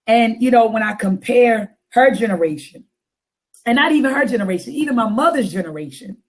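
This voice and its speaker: A woman hosting a casual talk show, her deep voice raspy and hoarse after coughing, repeating phrases for emphasis. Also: expressive, a little fast. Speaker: host.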